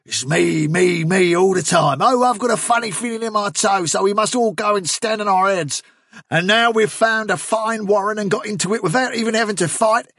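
A man's voice: high voice, moderate pace, fairly bright tone, dry recording, clean studio sound, pitched above the performer's own voice. A man voicing a blustering, scornful male rabbit in a storybook, scolding with sarcastic, emphatic delivery. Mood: furious scorn. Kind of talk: storybook character dialogue.

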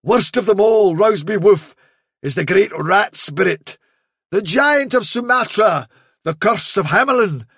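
A man's voice: high voice, animated, measured pace, fairly bright tone, slightly rough, no room sound, clean studio sound, heavily acted. A man voicing a cunning male trickster rabbit posing as a fairy, in a storybook reading, delivering sly, solemn, dramatic warnings.

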